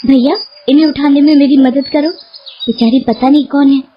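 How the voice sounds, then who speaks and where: Woman acting kind young woman, high-pitched. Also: fairly even intonation, normal speed, lo-fi sound, a little hiss or hum, natural voice. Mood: concerned. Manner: caring, respectful. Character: kind, helpful young village henna artist woman.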